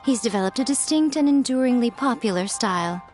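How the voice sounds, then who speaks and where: A poised fox-spirit woman, voiced by an actress, who runs a publishing house and guards her secrets with playful charm. Her slightly high voice rises and falls in a lively, knowing way. Soft game music plays underneath. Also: a little fast, lightly acted. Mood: gracious. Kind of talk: video game voice acting.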